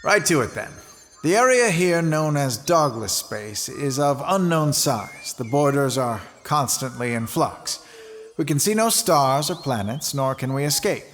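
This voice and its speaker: A man playing a courteous, grave space station commander in an audio drama, speaking formally in measured speeches. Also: on the high side, animated, a bit bright, a little hiss or hum. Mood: frank, resigned. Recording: long reverb, music underneath.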